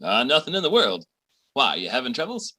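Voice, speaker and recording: Man acting swaggering boastful boyfriend, slangy wise guy, sharp forceful tone, very animated, radio comedy. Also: medium pitch, brisk, no room sound, clean studio sound, full character voice.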